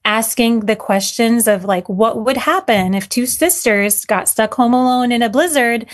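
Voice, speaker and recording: A woman's voice: fairly high pitch, moderate pace. Earnest woman author, lots of fillers. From Syria. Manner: earnest, filler-heavy, many "you know"s. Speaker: author guest. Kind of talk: conversational interview answers.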